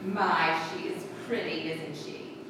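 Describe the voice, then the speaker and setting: A woman's voice: low-pitched, slow pace, background noise. Woman acting icy, sardonic wife in echoing hall. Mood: mocking. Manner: mocking, cutting, mannered politeness. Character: icy, sardonic jealous wife making threats. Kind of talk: 1940s radio mystery acting. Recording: echoey hall, far from mic, music.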